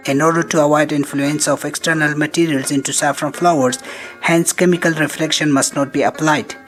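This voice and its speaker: A man's voice: fairly high pitch, steady tone, a little fast, a bit bright. Male instructional narrator over music. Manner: formal, reading out step by step. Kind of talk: scripted instructional film narration. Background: background music.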